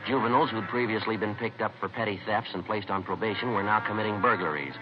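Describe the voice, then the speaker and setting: An actor playing a terse, matter-of-fact American police detective sergeant in a 1950s radio crime drama, clipped and deadpan. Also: male voice, lowish voice, fast, close to an everyday voice. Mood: deadpan, factual. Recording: muffled old radio recording, music behind.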